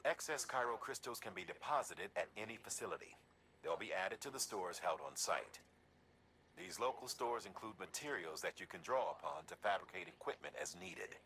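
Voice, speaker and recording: A practical, steady operations man in a video game, with a deep voice. He gives matter-of-fact briefings over clearly audible ambient noise. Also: normal speed. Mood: businesslike. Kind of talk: scripted mission briefing.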